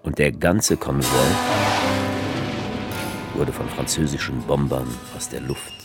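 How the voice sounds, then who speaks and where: An actor playing a sardonic author figure who narrates and guides the dictator in a radio play. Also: male voice, a bass voice, steady tone, slow, gravelly, full character voice. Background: music.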